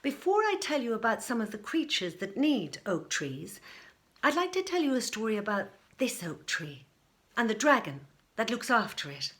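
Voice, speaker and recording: A woman's voice: a little high, sing-song, moderate pace, fairly bright tone. A woman voicing a friendly female tree warden in a children's story, speaking in an encouraging, instructive way to pupils.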